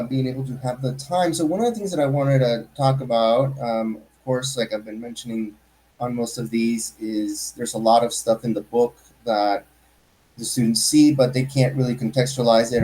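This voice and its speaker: A man hosts a casual interview, asking friendly questions with the odd filler. His voice is mellow and warm at a middle pitch. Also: low-quality recording. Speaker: host.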